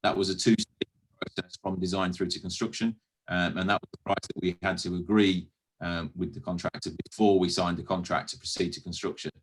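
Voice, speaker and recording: A British man speaking as a council officer in a formal meeting, explaining matters in a measured, careful way with some hesitant phrasing. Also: low voice, fairly even intonation, rough recording. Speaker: council officer presenting to a committee.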